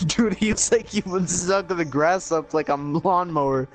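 Higher-voiced young man chatting crudely while gaming. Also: measured pace, soft background music. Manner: crude, slangy, joking. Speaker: online gamer, streamer. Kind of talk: casual chat with friends while gaming.

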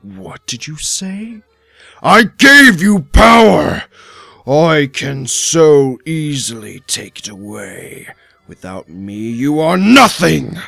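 Cartoon villain master for a male actor: slow, gravelly, imperious, wrathful, dry recording. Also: a little high, sing-song, bright, forceful tone, no echo, soft background music, exaggerated voice. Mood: enraged. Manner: imperious, threatening. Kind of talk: fan comic dub acting.

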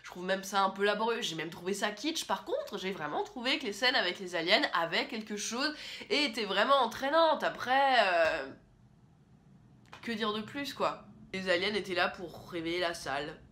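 A young woman giving a chatty, opinionated film review to a camera in a small room. Her voice is bright and forward, at an ordinary pitch for a woman. She talks casually and often pauses on fillers. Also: lively intonation. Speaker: film critic host.

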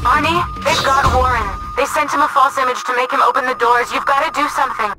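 A woman's voice: high-pitched, fairly even intonation, a little fast, music in the background, close to an everyday voice. An actress playing a hard-edged, defensive woman in a sci-fi game, pleading and justifying herself. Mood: urgent. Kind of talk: video game dialogue acting.

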